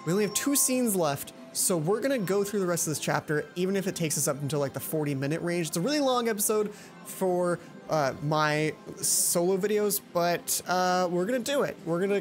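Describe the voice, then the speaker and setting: Low-pitched woman narrating a game over music. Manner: chatty, playful asides. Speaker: let's play host. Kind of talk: reading a visual novel aloud with casual commentary. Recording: music behind the voice.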